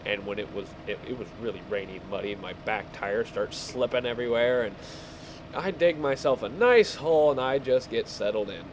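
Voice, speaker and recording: A man casually telling rambling stories while he plays a game, halting and easily distracted. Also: mid-range voice. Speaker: gamer telling stories over gameplay. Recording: clear background vehicle engine noise. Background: game vehicle engine sounds.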